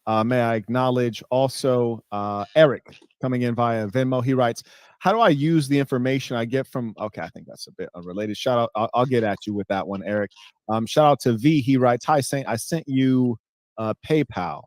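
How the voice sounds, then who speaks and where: A man's voice: medium pitch, normal speed, a little mellow, no echo. Businesslike male podcast host. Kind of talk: reading donor messages aloud.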